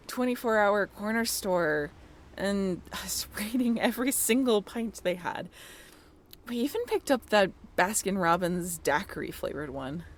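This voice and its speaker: A woman's voice: fairly high pitch, heavily acted. A woman playing a friendly, flustered young former college roommate in a romantic audio roleplay, talking in a bashful, hesitant, giggly and apologetic way. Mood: playful, teasing. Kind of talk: romantic audio roleplay, naturalistic one-sided conversation.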